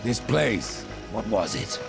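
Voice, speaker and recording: An actor playing a grim, sardonic rider of death in a fantasy game, speaking tersely and dryly in a deep, gravelly voice. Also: male voice, sing-song, slow, heavily acted. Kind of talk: fantasy video game acting. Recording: loud music behind the voice.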